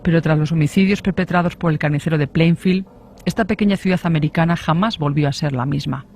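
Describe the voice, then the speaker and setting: A man's voice: fairly high pitch, fast talker, a little mellow, a little hiss or hum. A man reading a scripted true-crime radio narration.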